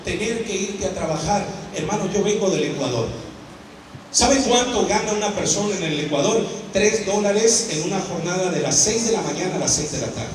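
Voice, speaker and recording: Shouting preacher man in an echoey hall. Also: very high-pitched. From United States (Arizona). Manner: shouting, drawn-out emphatic words, prompting the crowd to repeat. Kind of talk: fiery call-and-response sermon. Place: large school hall with a congregation. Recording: echoey hall recorded from far off. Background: faint crowd and room noise.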